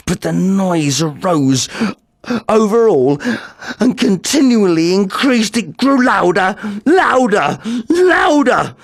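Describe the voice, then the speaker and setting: A man's voice: high voice, creaky, dry recording, studio quality, voice pushed up, full character voice. A man playing an unhinged, cunning murderer confessing in a theatrical reading of a horror story, with dramatic swings in delivery. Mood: frantic, raving.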